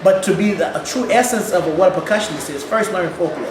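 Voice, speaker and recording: Ghana-born man with a St. Louis accent, lively interview guest, high-ish voice, echoey noisy room. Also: quick pace. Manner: animated, laughing, repeats words. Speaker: percussionist guest. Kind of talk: casual interview. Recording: echoey room with loud background noise.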